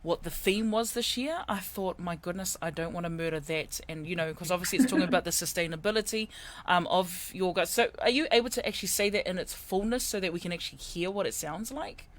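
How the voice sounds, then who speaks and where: A morning radio host interviewing a guest on air, encouraging and quick to laugh. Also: mid-range voice.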